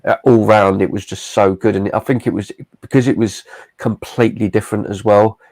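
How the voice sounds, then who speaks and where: A man on a live discussion panel, keen and quick to agree with the others. His low voice has a gravelly fry and stays quite flat in pitch.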